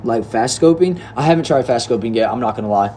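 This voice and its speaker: A man's voice: mid-range voice, lively intonation, fast talker, warm tone, slight background noise. A nineteen-year-old man from Texas, reading viewer comments aloud on a livestream in an easygoing, chatty way. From Texas, United States. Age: young adult. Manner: laid-back, chatty, addresses viewers as bro and y'all. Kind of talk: casual livestream chat. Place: indoor room.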